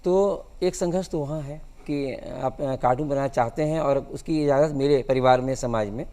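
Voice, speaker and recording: A man in his sixties giving interview answers as a guest, speaking in a measured, halting way. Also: medium pitch, warm tone. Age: 60s. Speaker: guest cartoonist. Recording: long hall-like reverb.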